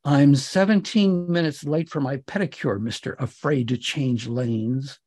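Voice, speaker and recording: A man's voice: medium pitch, unhurried, a little mellow, slightly rough. A man voicing an imperious, glamorous female movie star with a withering, haughty, commanding manner in a story reading. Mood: icy. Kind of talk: audiobook-style character dialogue.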